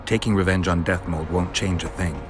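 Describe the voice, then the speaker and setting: An actor voicing a stoic, sardonic monster hunter in a video game, dry, terse and deadpan. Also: male voice, deep voice, normal speed, lightly acted. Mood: wry, questioning. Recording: music behind the voice.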